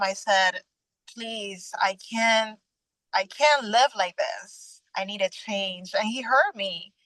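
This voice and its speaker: A young adult woman from Venezuela, a guest sharing her personal faith testimony in an earnest, halting way with frequent restarts. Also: medium pitch, a bit bright, dry recording, low-quality recording.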